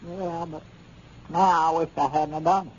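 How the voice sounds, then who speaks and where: An actor playing a touchy, flustered storekeeper with a rural Southern accent in a 1930s radio comedy. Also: male voice, on the high side, steady tone, moderate pace, lightly acted. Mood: resentful. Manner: folksy, flustered, grumbling. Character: touchy, aggrieved small-town male storekeeper in rural Arkansas. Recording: noisy, muffled 1930s radio recording.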